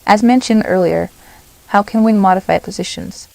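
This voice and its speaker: A woman narrating a software tutorial step by step, in short, simple instructional phrases. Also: medium pitch, normal speed, gravelly, dry recording.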